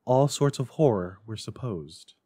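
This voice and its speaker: A man giving an expressive, deliberate dramatic reading of a classic Christmas story. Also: lowish voice, breathy edge, no room sound, studio quality. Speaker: library staff story reader.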